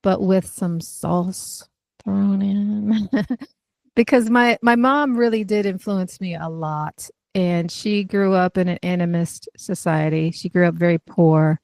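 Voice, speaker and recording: A woman from the United States, a podcast guest, answering in a thoughtful, measured way with small fillers. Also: medium pitch, creaky, darkish tone, no echo. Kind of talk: conversational interview.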